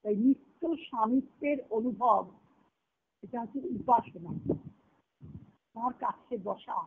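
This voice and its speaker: The voice comes thin and boxed-in over a phone conference line. A woman speaks as a spiritual teacher giving a devotional discourse. She explains in a reflective way, returning to key phrases and repeating them. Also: on the high side. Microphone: phone conference call. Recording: tinny narrowband conference-call phone line.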